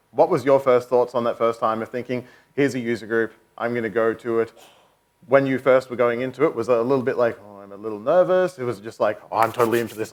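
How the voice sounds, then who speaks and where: A man with a mid-range voice chairs a conference panel from the stage. He talks in a loose, chatty way, cracking light jokes and leading into questions with plenty of casual filler. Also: medium pitch. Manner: chatty, joking, upbeat. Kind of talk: live panel hosting. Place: conference stage before an audience.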